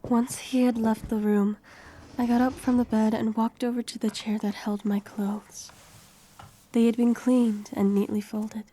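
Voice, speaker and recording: A young woman playing a wary, homeless heroine in her twenties, telling her story softly and with measure in an audio drama. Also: on the high side, little pitch movement, unhurried, slight background noise, lightly acted, low-quality recording. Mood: reflective. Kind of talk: first-person audio drama narration and dialogue.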